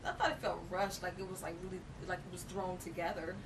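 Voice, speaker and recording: A woman's voice: flat delivery, fast. A woman giving her opinions in casual chat. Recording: distant mic, clear noise and rumble.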